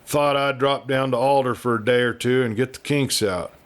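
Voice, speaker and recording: A man's voice: medium pitch, steady tone, normal speed, lightly acted. A man voicing a brash, eager young cowboy from a western storybook, with folksy, casual talk. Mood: careless.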